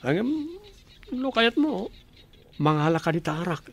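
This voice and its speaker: A man's voice: high voice, big pitch swings, slow, vocal fry, husky, exaggerated voice. A man in a radio drama playing an easygoing, affectionate young husband who talks mildly. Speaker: office worker husband.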